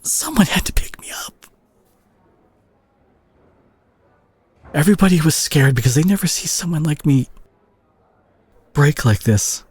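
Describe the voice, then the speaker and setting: A man playing a protective, guilt-ridden brawler superhero in an intimate audio drama, speaking earnestly with a husky, breathy voice. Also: on the high side, lively intonation, dry recording. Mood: tearful, pleading. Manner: earnest, emotional, halting delivery with sighs. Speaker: audio roleplay voice actor.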